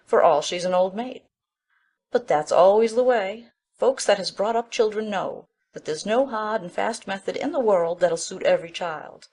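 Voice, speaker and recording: A woman's voice: mid-range voice, a little mellow. A woman playing an opinionated, bossy middle-aged village busybody in an audiobook drama, chatty and self-assured.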